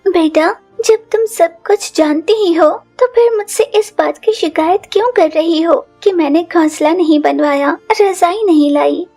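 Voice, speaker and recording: A woman's voice: extremely high pitch, unhurried, few pauses, warm tone, soft background music. A woman voicing a poor, tired mother bird in a cartoon, explaining earnestly to her daughter. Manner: patient, earnest.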